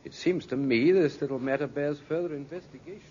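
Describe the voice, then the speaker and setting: An actor playing a dry, shrewd police inspector in a 1950s radio drama, with measured, sceptical questioning. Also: male voice, on the high side, dark tone, slightly rough. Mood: businesslike. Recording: muffled, scratchy 1950s radio recording.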